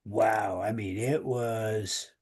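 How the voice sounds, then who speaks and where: A man's voice: fairly low pitch, fairly even intonation, drawn-out words, darkish tone, slightly rough. A middle-aged American man, a musician, telling anecdotes in a loose, casual conversational way.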